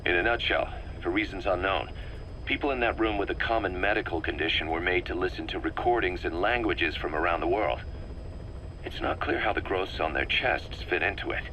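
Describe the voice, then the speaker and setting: A man playing an analytical, wary military second-in-command in a video game, explaining things in a measured, methodical way. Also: low-pitched, pushed and bright, slight background noise, low-quality recording. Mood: puzzled, serious. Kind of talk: scripted video game cutscene dialogue.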